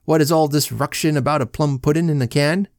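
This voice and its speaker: A man reading a story and voicing a brisk, businesslike police chief. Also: on the high side, steady tone, no room sound, clean studio sound, voice pushed up, close to an everyday voice. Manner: brisk, official.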